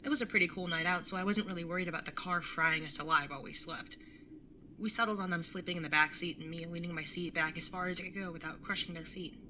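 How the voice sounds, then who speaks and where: A woman's voice: fairly low pitch, steady tone, quick pace, background noise, close to an everyday voice. A woman playing a laid-back, blunt older sister in her young adulthood, casual and teasing, in a naturalistic audio drama. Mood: reflective. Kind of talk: naturalistic audio drama dialogue and first-person narration.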